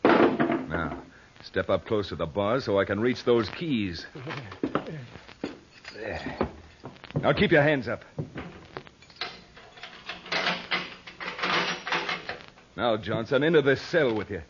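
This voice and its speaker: A man's voice: on the low side, expressive, takes it slowly, long pauses. A man playing a resolute, earnest cowboy hero with a commanding manner in an old radio western. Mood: firm. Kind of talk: 1940s radio western acting. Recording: very noisy muffled old radio recording.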